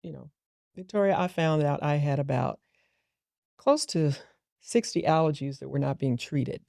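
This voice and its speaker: A woman's voice: sits low, animated, normal speed, gravelly, dark tone, dry recording, clean studio sound. A middle-aged woman, a business founder, telling a personal story earnestly and reflectively in an interview. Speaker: guest entrepreneur.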